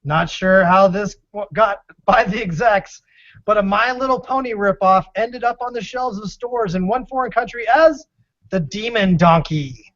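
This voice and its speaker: A man with a clearly high-pitched American voice, bantering playfully as a co-host. The sound is close and dry, with the top slightly rolled off. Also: moderate pace, fairly bright tone, no echo. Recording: dull, dry band-limited sound.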